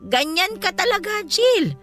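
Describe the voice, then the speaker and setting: A woman's voice: extremely high pitch, very animated, measured pace, fairly bright tone, soft background music, heavily acted. A woman acting a blunt, unfazed older folk healer in a Filipino radio drama, folksy and scolding. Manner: folksy, scolding, matter-of-fact.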